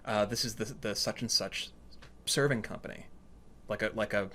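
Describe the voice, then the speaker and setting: A man chatting casually and telling stories in an animated way, acting out what people said to him. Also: on the low side, normal speed, slight background noise. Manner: animated storytelling, acts out reported dialogue. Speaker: storyteller in conversation. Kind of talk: casual anecdotal chat.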